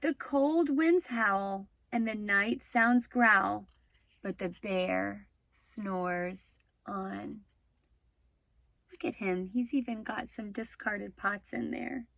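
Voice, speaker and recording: A woman's voice: medium pitch, slow, vocal fry, fairly bright tone, no echo, rough recording. A woman reading a picture book aloud to young children, expressively, with instructive asides to the listeners. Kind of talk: animated children's read-aloud with explanatory asides.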